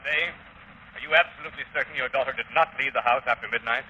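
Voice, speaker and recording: A forceful police commissioner fires clipped questions quickly in a very sharp voice. It is old radio acting, muffled and faintly hissy. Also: male voice, medium pitch. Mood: stern questioning. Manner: clipped, interrogating. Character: brisk, authoritative police commissioner.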